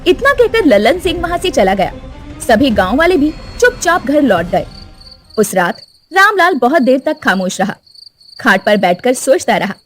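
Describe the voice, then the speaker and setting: Woman narrating a cartoon story, high and lively. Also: moderate pace, soft background music. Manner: expressive storytelling.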